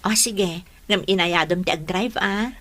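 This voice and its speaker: A woman's voice: mid-range voice, unhurried, fairly bright tone, lightly acted. A woman with an Ilocano Filipino accent playing a sweet, trusting young girlfriend with a jealous streak in a radio drama, speaking earnestly and emotively. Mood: reassuring.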